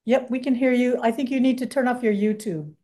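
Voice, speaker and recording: A woman on a video call chairing a public meeting, giving short, relaxed cues to speakers. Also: on the high side. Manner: slow, relaxed, brief cues, laughs easily.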